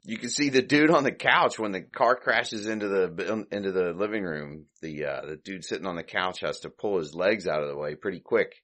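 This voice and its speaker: A man's voice: deep voice, moderate pace, few pauses, fairly bright tone. A man hosting a podcast, casually recapping an old comedy short with lots of hesitations and self-corrections. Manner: rambling, hesitant, full of ums and self-corrections; retells scenes, briefly quoting characters. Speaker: podcast host.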